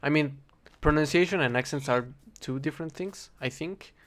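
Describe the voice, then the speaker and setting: A young man with a Spanish accent, a native Spanish speaker, rambling in a casual, halting monologue while he plays a game and keeps correcting himself. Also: a little high, a bit bright. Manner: halting, self-correcting, thinking aloud. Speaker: vlogger.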